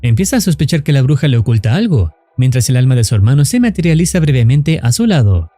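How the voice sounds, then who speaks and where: A man's voice: medium pitch, sing-song, fast talker, mellow, no room sound. A man reading a scripted narration as the narrator of a horror story recap.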